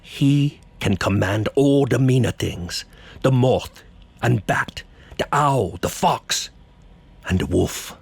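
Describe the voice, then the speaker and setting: An actor playing a grave older professor who hunts vampires, speaking in solemn, deliberate oratory in a horror audio drama. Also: male voice, mid-range voice, lively intonation, creaky, breathy edge, no room sound, exaggerated voice. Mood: grave, urgent. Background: music.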